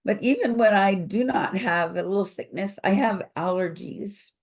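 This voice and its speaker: An older woman, a company founder, speaking slowly and thoughtfully over a video call with a froggy voice from recent illness, pausing often with fillers. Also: medium pitch. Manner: slow, thoughtful, many pauses and fillers. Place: home office with bookshelves.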